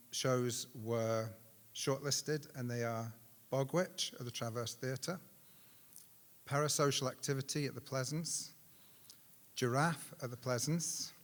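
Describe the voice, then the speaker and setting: A middle-aged man, a charity director, giving an informal, self-deprecating and halting unscripted speech to announce an award. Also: mid-range voice. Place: large reverberant hall at a live ceremony. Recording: echoey hall with long reverb.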